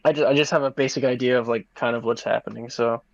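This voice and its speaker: Gravelly-voiced laid-back young host. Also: male voice, medium pitch, fairly even intonation, quick pace, no echo. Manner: laid-back, heavy use of fillers like "like", admits knowing little. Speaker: podcast host. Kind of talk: casual chat. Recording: dull, muffled dry mic.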